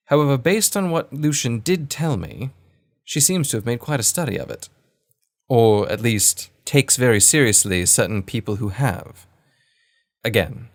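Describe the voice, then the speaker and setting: A man performs a wry gentleman recounting an adventure in an audio drama. His voice sits fairly low, and his delivery is formal, measured and old-fashioned. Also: natural voice. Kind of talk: first-person dramatic storytelling.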